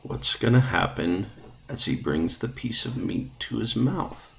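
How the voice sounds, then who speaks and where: A man guides a read-along like a classroom teacher. His voice is deep and creaky, and his style is casual and instructive. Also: lively intonation, unhurried.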